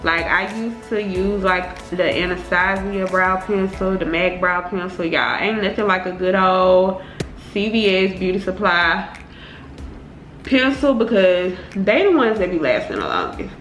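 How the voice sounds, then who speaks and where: A woman's voice: on the low side, lively intonation, a little slow, fairly bright tone, echoey room, faint music behind, rough recording. A young woman chatting casually to her viewers in informal slang, sounding congested from a cold. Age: young adult. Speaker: beauty vlogger. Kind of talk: casual chatty get-ready-with-me talk.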